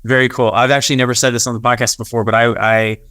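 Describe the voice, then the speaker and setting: A man's voice: medium pitch, little pitch movement, fast, sharp tone, clear, resonant tone, dry recording, clean studio sound. A man hosting a podcast interview in an easygoing, conversational manner. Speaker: podcast host. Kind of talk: casual interview.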